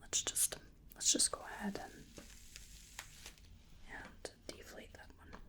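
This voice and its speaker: A woman playing a bookish, polite cryptid researcher in a soft-spoken ASMR roleplay, breathy and largely whispered, gently musing to herself close to the microphone. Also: takes it slowly, close to an everyday voice. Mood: musing, methodical.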